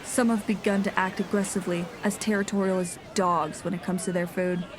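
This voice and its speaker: A woman's voice: medium pitch, flat delivery, brisk, crowd noise, background noise, close to an everyday voice. A woman playing a grave, haunted nineteenth-century sea captain reading a log in solemn, formal diction for an audio drama. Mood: grave, uneasy. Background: music.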